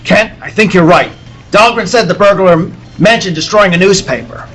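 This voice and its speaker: A man playing an excitable, brusque newspaper editor in the style of a 1940s radio serial. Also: high-pitched, normal speed. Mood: alarmed, brisk. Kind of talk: 1940s radio serial acting at a table read. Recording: convention room noise behind.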